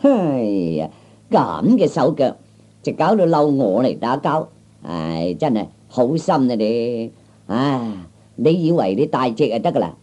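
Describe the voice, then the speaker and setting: A scrawny kung fu braggart in a Cantonese radio comedy. His voice is mellow and swoops around as he boasts in a halting way, peppered with interjections. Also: medium pitch, very animated. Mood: boastful. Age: 30s. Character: small skinny boastful kung fu braggart, aged thirty. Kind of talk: Cantonese comic radio play acting.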